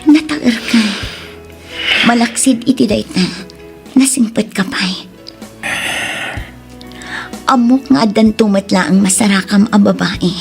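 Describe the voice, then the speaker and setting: A woman acting a remorseful, tender young woman in an Ilocano romance drama, speaking with emotional, halting pleading and an Ilocano accent over music. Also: medium pitch, gravelly, darkish tone. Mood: gentle, grateful. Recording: music bed under the voice.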